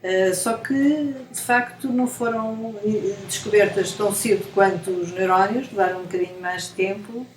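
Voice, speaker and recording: A woman's voice: medium pitch, fairly even intonation, normal speed, few pauses. A woman from Portugal with a European Portuguese accent, a scientist being interviewed, explaining with analogies and frequent tag questions. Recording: echoey room, faint noise.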